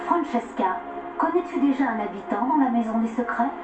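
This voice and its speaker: A woman's voice: on the high side, expressive, a little fast. Woman, formal offscreen announcer voice, dry humor, distant and echoey, music behind. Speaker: show's offscreen voice. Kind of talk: scripted TV interview questions. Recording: distant, roomy, muffled TV audio with music.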